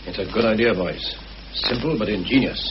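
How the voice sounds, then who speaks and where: An actor playing a shrewd war veteran weighing up a plot, in the manner of mid-century radio drama. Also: male voice, on the low side, brisk, close to an everyday voice. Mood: shrewd, appraising. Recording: muffled old radio recording, slight hiss.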